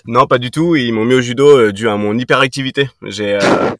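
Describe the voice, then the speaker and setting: A man's voice: mid-range voice, a little fast, fairly bright tone, no echo, clean studio sound. A man in his thirties from Brittany, a fitness coach answering interview questions casually, often hesitating with fillers and restarts. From Brittany, France. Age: 30s. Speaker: podcast guest, fitness coach.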